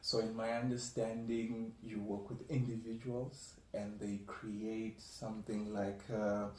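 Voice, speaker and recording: A man's voice: fairly low pitch, level tone, measured pace, darkish tone, slight background noise. A man hosting a casual interview show in an upbeat, chatty way, often laughing. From Africa. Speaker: show host. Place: room at a wooden table. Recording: roomy, slightly distant mic.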